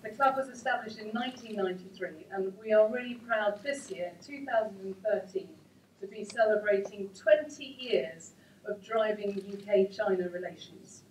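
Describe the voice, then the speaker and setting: A woman's voice: medium pitch, normal speed. Female event speaker, formal address, reverberant room, off-mic. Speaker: club founder giving speech. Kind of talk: welcome speech. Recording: echoey, distant, faint noise.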